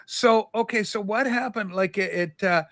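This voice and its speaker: A woman co-hosting a casual livestream chat, teasing and excitable, laughing often. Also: mid-range voice, moderate pace, no room sound, clean studio sound. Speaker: livestream co-host.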